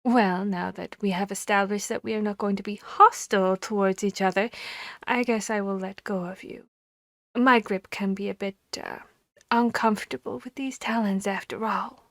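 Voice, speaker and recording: A woman voicing a harpy seeking a human mate, commanding yet courteous and bashful, speaking formally and deliberately with hesitant pauses in an ASMR roleplay. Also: medium pitch, steady tone, fairly bright tone, dry recording, studio quality, natural voice. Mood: gentle, reassuring.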